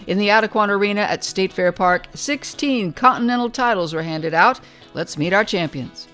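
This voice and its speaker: A show host reads polished broadcast narration, even and smooth like an announcer. His pitch sits right in the middle. Soft music plays quietly underneath. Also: normal speed.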